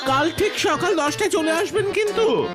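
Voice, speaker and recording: A woman's voice: extremely high pitch, animated, normal speed. A woman voicing a fussy, self-important cartoon painter who talks grandly and with condescension. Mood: brisk, firm. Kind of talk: exaggerated cartoon acting. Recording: cartoon music behind the voice.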